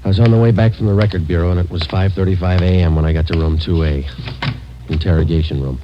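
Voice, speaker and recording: Casting: actor as hard-nosed deadpan detective sergeant, low voice, rapid clipped delivery, hissy vintage radio police drama. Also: male voice, lightly acted. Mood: matter-of-fact. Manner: clipped, deadpan, matter-of-fact. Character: terse, relentless male police detective sergeant. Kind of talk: 1940s-50s radio police drama acting. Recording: muffled old radio recording, clear hiss.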